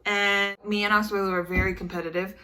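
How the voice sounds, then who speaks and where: A woman's voice: medium pitch, little pitch movement, brisk, background noise. A woman chatting casually and telling stories with her partner for a vlog, in a playful, teasing way. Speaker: vlog co-host telling stories over photos. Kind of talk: casual storytelling chat with partner.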